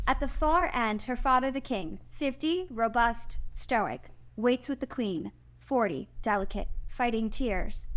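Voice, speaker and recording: A woman reading out screenplay stage directions as the narrator at a table read. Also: on the high side.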